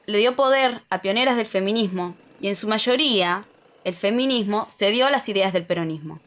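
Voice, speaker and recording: A woman's voice: medium pitch, moderate pace, fairly bright tone. A woman with an Argentine accent reads a scripted opinion essay aloud, as on a podcast. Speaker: podcast speaker.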